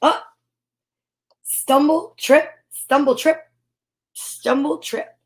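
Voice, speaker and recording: A woman hosting an animated children's story read-aloud, with sing-song repetition, dramatic exclamations and casual asides. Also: high-pitched, extremely slow. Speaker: host reading picture books aloud.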